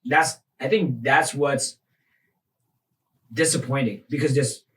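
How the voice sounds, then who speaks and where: A man's voice: mid-range voice, takes it slowly. A man from Thailand, raised in New York, hosts a podcast interview. His voice sits in a middle range, and he talks in a loose, conversational way, nodding along with short affirmations and tossing in jokes. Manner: relaxed conversational, frequent affirming murmurs, jokes and laughs. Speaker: podcast host, physical therapist.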